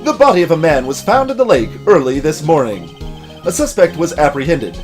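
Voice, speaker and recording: Man putting on an animated TV news anchor. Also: on the high side, fairly quick, faint music behind. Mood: announcing. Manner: declamatory newsreader delivery. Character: male TV news anchor, brisk and formal.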